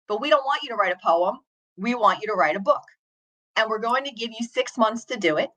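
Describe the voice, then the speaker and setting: A woman teacher and author, a guest on an interview show, telling a story in an earnest, steady, reflective way. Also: on the high side, rough recording.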